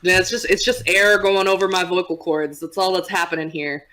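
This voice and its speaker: A young woman with a low, easygoing voice that carries a soft rumble. She talks in a loose, chatty way, laughing often as a podcast guest. Her pitch sits a little under most women's. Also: fairly quick. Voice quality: low voice with a relaxed vocal rumble. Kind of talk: casual podcast interview.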